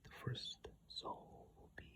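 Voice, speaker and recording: An ASMR creator reading video game lore in a breathy whisper, unhurried and informal with asides, recorded close on an iPhone mic. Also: sharp tone. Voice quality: breathy whisper, mostly unvoiced.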